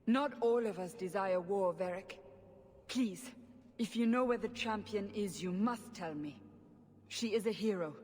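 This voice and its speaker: In a long, echoing hall, a stern warrior of the faith questions with grave formality. She is earnest and insistent, a fantasy game interrogator with a measured dramatic delivery. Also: mid-range voice, darkish tone, slightly rough. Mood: urgent, pleading.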